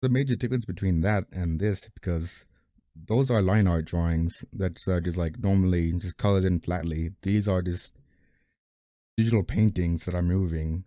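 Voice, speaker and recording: Casual male animator, very low mellow voice, dry close mic, blunt, swears freely. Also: normal speed, dry recording. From family from Mexico. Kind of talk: casual chat.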